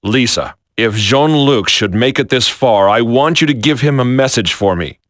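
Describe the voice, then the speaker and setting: An actor playing a grim, sorrowful renegade commander in a video game, speaking in a measured, brooding way. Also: male voice, medium pitch, lively intonation, a bit bright, dry recording, clean studio sound, lightly acted. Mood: cold resolve.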